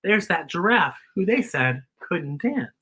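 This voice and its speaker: A woman hosting children's story time, reading a picture book expressively and deliberately explaining it to young listeners. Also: on the low side, sing-song, sharp tone, slightly rough.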